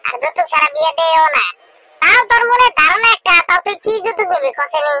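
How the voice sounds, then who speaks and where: A man doing a squeaky village guy. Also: lively intonation, fast, very noisy. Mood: bantering. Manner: teasing, rough, crude village banter. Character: young village man bantering with his friend. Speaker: voice actor. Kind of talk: comedic cartoon dialogue. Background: loud music.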